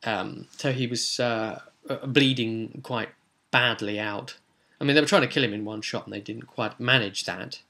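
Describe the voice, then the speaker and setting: A man recounts his tabletop roleplay session as game master, talking loosely and circling back with ums and restarts. His voice is bright and forceful, and his pitch sits in a middle range for a man. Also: normal speed, creaky. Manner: rambling, frequent ums and restarts.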